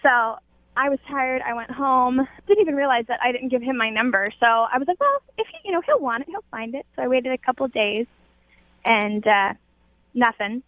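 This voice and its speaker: A woman's voice: fairly high pitch, lively intonation, fairly quick. A young woman calling in to a radio show, chatting casually in a girlish, giggly, gushing way over a narrow phone line. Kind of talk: casual chat. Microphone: telephone.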